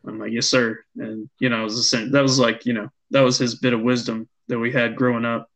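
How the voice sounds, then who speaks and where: A young man co-hosting a casual podcast chat, talking haltingly with many fillers and restarts. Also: medium pitch, level tone, crowd noise, poor audio. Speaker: podcast co-host.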